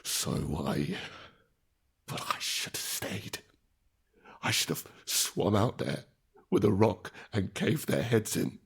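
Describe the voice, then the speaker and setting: A low-voiced male survivor carries a slow, halting intensity, weighed down by guilt and grief. The delivery is slightly husky, as in a dramatic audio drama told in first person, with music underneath. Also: big pitch swings, full character voice. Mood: bitter, accusing. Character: grief-stricken guilt-ridden male survivor, narrating his ordeal.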